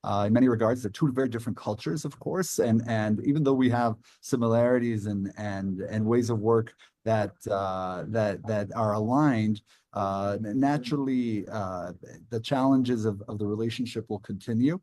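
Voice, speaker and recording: Halting man on a dry line. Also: lowish voice, dark tone, dry recording. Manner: halting, many fillers and restarts. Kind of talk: online panel discussion.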